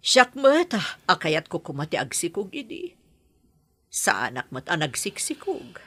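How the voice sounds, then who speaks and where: A woman playing a sympathetic, wistful middle-aged landlady with a husky voice in an Ilocano drama. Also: lowish voice, huge pitch swings, measured pace, a bit bright, dry recording, full character voice. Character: motherly landlady, sympathetic, wistful, longing for a child. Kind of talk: Ilocano radio-style comedy drama acting.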